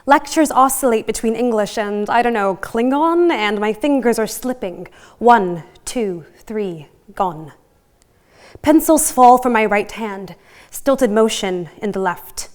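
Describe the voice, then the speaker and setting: A young woman performing a poetic monologue on stage, with measured, deliberate and dramatic delivery. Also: fairly high pitch, animated, a little mellow. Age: young adult. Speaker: spoken word performer. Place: live event room, on stage.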